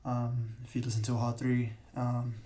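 A young man with a raspy voice hosts his own podcast. He rambles casually and keeps correcting himself, using plenty of fillers. His delivery stays flat and mellow, and a large room can be heard around him. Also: medium pitch, normal speed. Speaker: podcast host. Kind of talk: casual solo podcast talk. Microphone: podcast mic.

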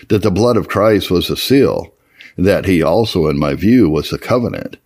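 A man reading an old religious memoir aloud as scripted narration, in a measured, formal and expressive way. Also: deep voice, creaky. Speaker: audiobook-style reader of historical religious texts.

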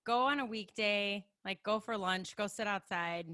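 A woman's voice: medium pitch, moderate pace, bright, forceful tone, no room sound, clean studio sound. A middle-aged woman from the Chicago area with a Chicago accent, chatting as an interview guest and laughing easily at herself. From Chicago area, USA. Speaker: guest, makeup artist. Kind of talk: casual conversational interview.

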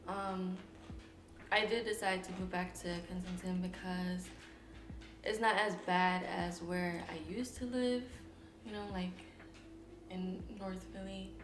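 A young woman from Philadelphia, a guest chatting with her best friend, telling stories in a relaxed way and laughing often. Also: lowish voice, unhurried, faint music behind. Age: young adult. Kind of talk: casual chat. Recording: echoey room, background noise.

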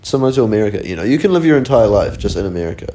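A man with a New Zealand accent and a fairly low voice, chatting on a podcast. He sounds laid-back and easygoing, with casual swearing running through his talk. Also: big pitch swings, racing, dark tone, a little hiss or hum. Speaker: podcast co-host. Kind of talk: casual chat.

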